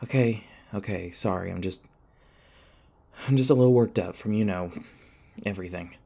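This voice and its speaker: Audio roleplay: male actor as anxious young student, low and creaky, wry, flustered, halting rambler. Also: warm tone. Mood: nervous, sarcastic. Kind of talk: audio roleplay acting, one-sided dialogue.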